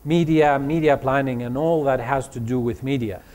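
A man's voice: mid-range voice, moderate pace. Man telling his story to students. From Greece. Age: 40s. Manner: animated storytelling with fillers and restarts. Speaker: conference speaker. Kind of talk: motivational talk to students.

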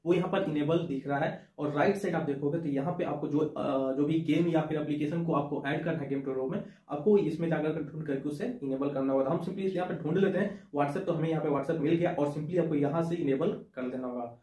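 Young guy doing a phone tutorial, highish voice, soft mellow tone, roomy echo. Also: steady tone, a little fast, few pauses. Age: young adult. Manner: explanatory, repeats points, addresses viewers as friends. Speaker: tech tutorial host. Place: small indoor room with shelves. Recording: echoey small room.

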